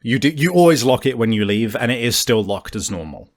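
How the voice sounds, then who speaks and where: A man's voice: medium pitch, lively intonation, brisk. A man narrating a tabletop game as game master in a casual, sweary, improvised way.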